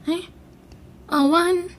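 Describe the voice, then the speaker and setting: Shy schoolgirl, very high voice. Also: steady tone, very slow, slight background noise, heavily acted. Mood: shy, hesitant. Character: timid young schoolgirl, obedient daughter. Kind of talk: audio drama acting.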